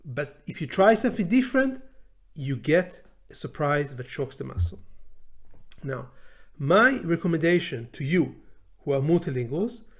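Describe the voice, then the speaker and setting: A man in his forties with a fairly high voice talks informally as a language teacher, in English learned after his native Hebrew. He is animated and often stops on fillers and restarts his sentences. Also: normal speed. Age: 40s. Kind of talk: informal talk to camera.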